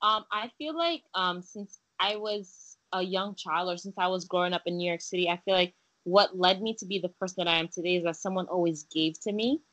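A woman's voice: on the low side, brisk, a bit bright, muffled recording. A young woman from Haiti, a guest in a casual interview, talking earnestly with many fillers and restarts. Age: young adult.

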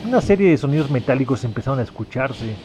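A man's voice: medium pitch, lively intonation, fast, over loud music. A man reading scripted narration for a sci-fi noir audio drama. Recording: clear background noise, ambient sound effects.

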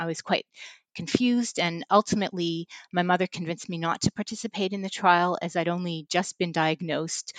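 Measured woman sharing a reflective story. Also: lowish voice, dry recording, poor audio. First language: English. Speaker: researcher guest. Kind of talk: personal anecdote in interview.

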